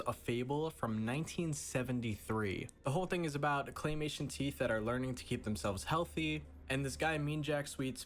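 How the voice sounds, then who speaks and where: A man hosts a reaction video with a medium-pitched voice. He talks informally and analytically, working things out aloud as he comments. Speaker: host of a horror video reaction.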